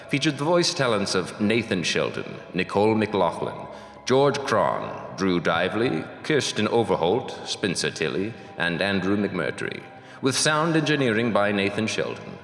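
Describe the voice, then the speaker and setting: A man's voice: lowish voice, a bit bright. A man playing a stately ghostly announcer, reading scripted horror radio narration in a formal, ominous, theatrical manner. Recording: echoey hall-like reverb.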